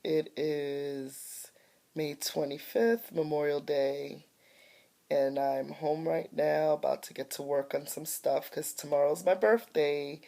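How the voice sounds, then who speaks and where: Middle-aged woman with a low, warm voice and some chest congestion; casual, grateful vlog update. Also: gravelly. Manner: chatty, grateful, slightly congested. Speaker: artist and vlogger. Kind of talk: casual home video update. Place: at home by a window. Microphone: phone or webcam camera mic.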